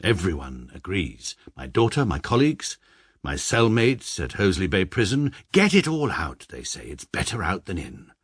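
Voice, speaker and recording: A man's voice: low-pitched, very animated, moderate pace, breathy edge, dry recording, studio quality, full character voice. A man with a British accent narrating as a precise, pedantic middle-aged barrister in a radio comedy. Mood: dry. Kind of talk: first-person radio narration.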